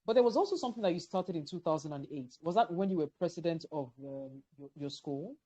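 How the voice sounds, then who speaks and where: A woman's voice: low voice, expressive, fast, mellow. A woman hosting an interview over a video call, asking questions with frequent stammered restarts. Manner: repeats words, stammering starts. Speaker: interviewer, host.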